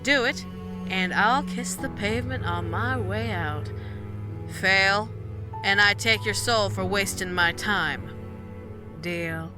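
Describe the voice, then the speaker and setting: A woman voicing a seductive, taunting female she-devil who speaks with a slow, teasing drawl. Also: mid-range voice, over music, lightly acted.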